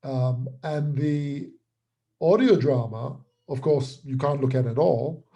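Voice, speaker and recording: A man who is a writer and creator, explaining his work in an interview in articulate, deliberate phrases broken by pauses. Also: medium pitch, dark tone, husky.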